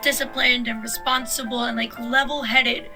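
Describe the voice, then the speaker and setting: A woman's voice: on the high side, level tone, normal speed, sharp tone, poor audio. A woman in her twenties, a drummer speaking in a documentary interview in a chatty way, full of "like". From Mexico. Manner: chatty, many "like" fillers. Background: background music.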